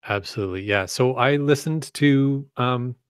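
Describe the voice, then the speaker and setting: Laid-back podcast cohost guy, agreeable, slightly low voice, dry close mic. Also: normal speed, clean tone, no echo. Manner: easygoing, agreeable, laughs often. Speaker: podcast co-host. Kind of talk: casual podcast chat.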